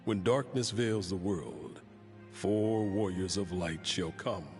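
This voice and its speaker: A man playing a steady, resolute warrior, measured and direct, in a video game cutscene. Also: low-pitched, faint music behind. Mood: earnest.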